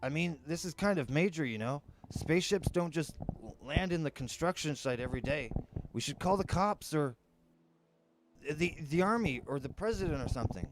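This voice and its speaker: A man voicing an excitable, wisecracking teenage boy in an audiobook, with animated, slangy delivery. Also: on the high side, moderate pace, noisy background, pitched above the performer's own voice, close to an everyday voice. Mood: excited urging. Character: excitable, wisecracking teenage boy, money-minded know-it-all.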